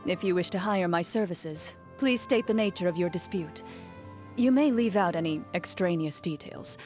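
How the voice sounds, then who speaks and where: An actress playing a cool, composed young woman, a champion duelist in a fantasy video game, speaking in a measured, formal and restrained way. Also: on the low side, a little mellow, faint music behind, lightly acted. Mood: composed, aloof. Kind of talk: scripted video game character voicelines.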